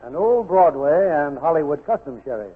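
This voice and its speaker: A man's voice: on the high side, sing-song, normal speed, slightly rough. An American man hosting a 1940s radio show, announcing from a script in a polished, theatrical way. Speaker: host and announcer. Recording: muffled 1940s radio broadcast with music.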